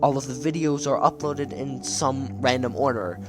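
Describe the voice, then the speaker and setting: A man's voice: mid-range voice, steady tone, moderate pace. Man narrating over background music. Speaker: video essay narrator. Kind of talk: casual scripted commentary on horror web series.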